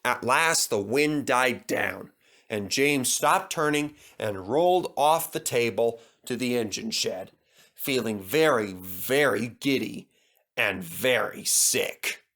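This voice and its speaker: Bright-voiced man reading a children's story. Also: mid-range voice, expressive, slow pace. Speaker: storyteller, reader. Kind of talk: scripted children's story narration.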